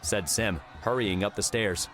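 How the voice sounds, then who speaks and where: A man's voice: on the low side, a little slow, a bit bright, soft background music, a faint crowd. A man reading scripted story narration for an audiobook.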